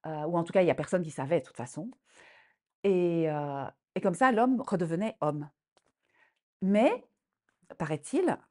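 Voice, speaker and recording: A woman in her sixties, born in Congo, a storyteller recounting a family tale with many pauses, fillers and restarts that livens up as the tale goes on. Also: on the low side. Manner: halting, many fillers and restarts, animated when telling the tale. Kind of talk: personal account and folk tale.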